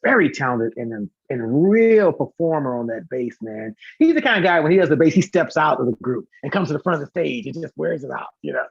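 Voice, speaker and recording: A man chatting casually as a guest singer, talkative and effusive, rambling excitedly about his plans and fellow performers. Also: fairly high pitch, fairly quick, creaky, hardly any pauses, breathy edge, dry recording, highs cut off. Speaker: guest, singer and concert organiser. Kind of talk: casual live chat, talkative promotion.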